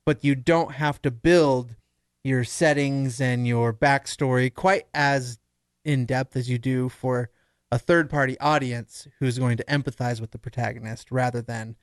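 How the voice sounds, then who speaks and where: A man talks in a mid-range voice in a relaxed podcast discussion. He explains his reasoning conversationally, thinking aloud as he goes. The sound is close and dry, without room echo. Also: mid-range voice, unhurried, clean tone. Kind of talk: casual analytical podcast discussion.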